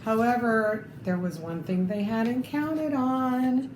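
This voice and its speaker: Slow, high-voiced woman reading a storybook. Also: a little mellow. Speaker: storybook reader. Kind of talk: expressive children's read-aloud with asides. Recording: roomy echo, clear background noise.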